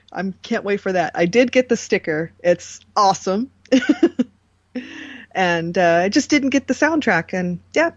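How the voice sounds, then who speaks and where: A slightly muffled, dry recording of a woman co-hosting a casual podcast. She speaks at a slow, easy pace in a mid-pitched voice. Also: no echo. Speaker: podcast co-host. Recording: dull, dry lo-fi voice track.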